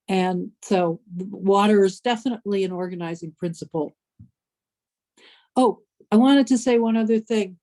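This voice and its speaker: A woman in a group video call, sharing slowly and reflectively. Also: mid-range voice, dark tone. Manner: slow, unhurried, reflective. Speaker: workshop participant.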